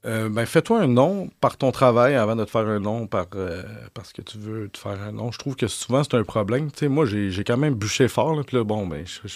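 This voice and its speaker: A man in his forties with a Québécois French accent, a journalist answering interview questions, talking fast with many restarts and repeated words. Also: mid-range voice, no echo, studio quality. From Quebec. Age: 40s. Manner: lively storyteller. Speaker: journalist guest. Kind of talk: candid conversational interview answers.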